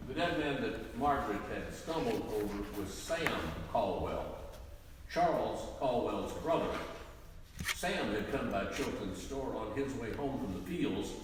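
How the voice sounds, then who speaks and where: A man's voice: mid-range voice, animated, a little slow. A man giving scripted historical narration, explaining context as a narrator. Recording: very echoey hall, distant mic, faint noise.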